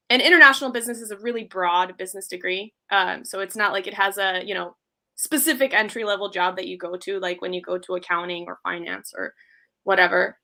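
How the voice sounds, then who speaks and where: A woman's voice: fairly high pitch, pushed and bright. A woman in her thirties, originally from Iceland, telling her career story as an interview guest in a chatty way full of ums and you-knows. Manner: chatty, many fillers like um and you know. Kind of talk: casual interview talk.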